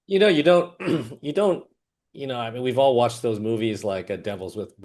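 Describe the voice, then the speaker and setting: A man hosts a relaxed interview and asks questions with a curious, halting delivery. He restarts his sentences often, with plenty of "like" and "you know" along the way. His voice sits at a middle pitch for a man. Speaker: host. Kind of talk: casual interview chat.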